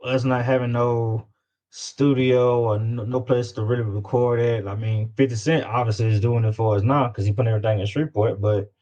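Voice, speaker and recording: A man's voice: mid-range voice, fairly even intonation, fairly quick, mellow. Slangy Southern man, dull lo-fi sound. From Louisiana, United States. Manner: slangy, repeats words and phrases for emphasis. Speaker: guest, independent music artist. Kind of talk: casual interview chat.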